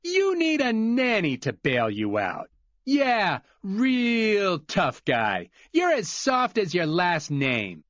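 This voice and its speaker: A man's voice: on the high side, wildly swooping pitch, a little slow, no echo, highs cut off, pitched above the performer's own voice, exaggerated voice. A man voicing a bitter, belligerent rich man who grumbles and sneers, in an audiobook. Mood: sneering. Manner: sneering and grumbling, then shouting.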